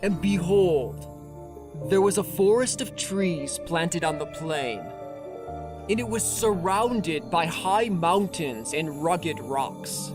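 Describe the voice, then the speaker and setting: A narrator reading a scripture audiobook in a solemn, measured, formal manner. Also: medium pitch, expressive. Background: music.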